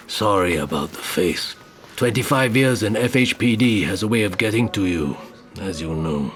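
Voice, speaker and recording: Lively, persistent senior detective. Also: male voice, fairly low pitch, exaggerated voice. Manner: wry, insistent, self-assured. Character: persistent, smooth-talking middle-aged male senior detective. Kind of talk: audio drama dialogue.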